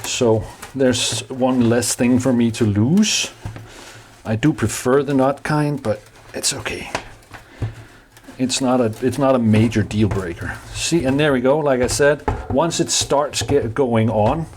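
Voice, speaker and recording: A man's voice: medium pitch, noisy background. Danish-accented man chatting while fixing a bike. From Denmark. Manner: halting, many fillers and restarts, chatty asides. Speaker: DIY maker vlogger. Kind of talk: casual talk while repairing a bike.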